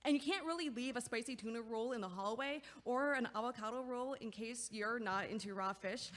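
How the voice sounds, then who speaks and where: An actress as an anxious young woman with a high voice, in a comic audio play set in ringing, reverberant space. She rambles, doubles back and over-explains every kind intention. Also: a little fast, fairly bright tone, close to an everyday voice. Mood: nervous rambling. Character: nervous, rambling, over-considerate young woman bringing food. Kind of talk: audio play acting, comedic monologue. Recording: echoey hallway-like long reverb.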